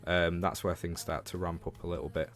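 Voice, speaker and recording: A man's voice: deep voice, monotone, brisk, fairly bright tone. A man from Leeds with a Northern English accent, chatting casually about games as a livestream host and talking to viewers. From Leeds, England.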